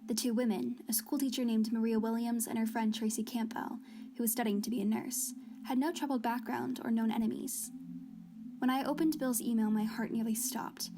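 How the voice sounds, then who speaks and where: A woman's voice: a little high, noisy background, lightly acted. An actress playing an earnest woman journalist who hosts an investigative podcast drama, speaking in a serious, scripted way. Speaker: podcast host.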